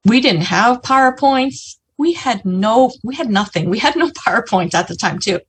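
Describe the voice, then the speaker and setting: A woman's voice: a little high, lively intonation, moderate pace, husky. A woman giving a chatty motivational business talk on a video call, often asking "right?" and "you know". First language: English. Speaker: business presenter.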